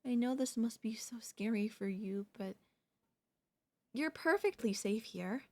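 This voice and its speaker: A woman playing an obsessive female pop idol turned kidnapper in an ASMR roleplay, speaking in a soft, coaxing, doting way with menace underneath. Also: fairly high pitch, animated, a little slow. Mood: soothing, possessive.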